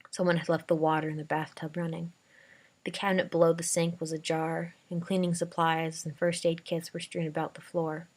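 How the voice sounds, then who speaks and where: A woman reading a dramatic first-person story aloud as an amateur narrator. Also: low-pitched.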